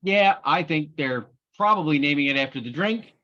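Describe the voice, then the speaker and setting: Wry male podcast host, slightly raised pitch, muffled lo-fi recording. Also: animated, moderate pace, bright, forceful tone. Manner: wry, sarcastic. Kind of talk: casual chat.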